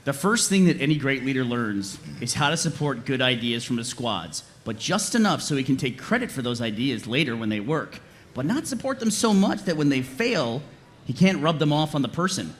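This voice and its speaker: A man playing an exasperated, bossy squad leader in an animated comedy table read, argumentative and emphatic. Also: mid-range voice, normal speed, a little hiss or hum, close to an everyday voice. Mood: scheming. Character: exasperated, bossy male soldier leading a squad.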